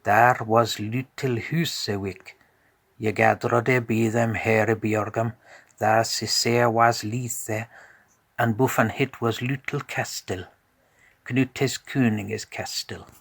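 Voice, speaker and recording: A man narrating a scripted tale as a storyteller in a measured, deliberate way. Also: mid-range voice, steady tone, fairly bright tone, no room sound.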